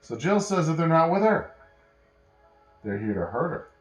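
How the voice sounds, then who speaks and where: A man improvising a bedtime story as narrator, pausing and correcting himself as he goes. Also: on the high side, very animated, soft background music. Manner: pauses and self-corrections while telling. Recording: echoey room.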